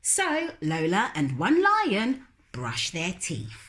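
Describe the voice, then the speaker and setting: A woman reading aloud as a storybook narrator, in an animated style made for children. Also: on the low side, slow pace, a little hiss or hum. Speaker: storybook reader.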